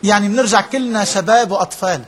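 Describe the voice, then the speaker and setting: Fast husky man lecturing. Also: high voice, fairly bright tone. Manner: explains step by step, checks in with listeners often; drops English terms into his speech. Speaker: workshop trainer. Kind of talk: interactive lecture to a live group.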